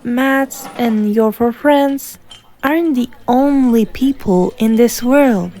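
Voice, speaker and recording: A woman plays a young female mentor in a fan-dubbed comic. Her voice is high and mellow, breezy and persuasive, with a bossy, scheming streak under the friendliness. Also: takes it slowly. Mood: blunt, pushy. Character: sly young woman coach posing as caring friend. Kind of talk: fan-dub comic voice acting.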